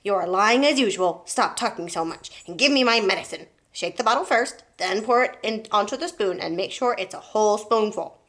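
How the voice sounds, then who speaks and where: A woman voicing a cranky, bossy old grandmother from a children's storybook, scolding and yelling in outrage. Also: mid-range voice, moderate pace, hardly any pauses, natural voice. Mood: bossy, irritable.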